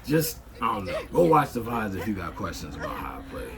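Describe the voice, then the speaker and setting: A man streamer ranting in loud, emphatic, profane commentary. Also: on the low side, sing-song, brisk, gravelly, crowd noise. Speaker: game streamer.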